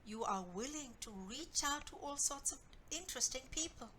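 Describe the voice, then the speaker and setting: A woman's voice: high voice, animated, moderate pace, bright, forceful tone, a little hiss or hum. Woman as a patient, soothing storybook mother. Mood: reasoning. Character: gentle, reassuring, reasoning mother of a young girl.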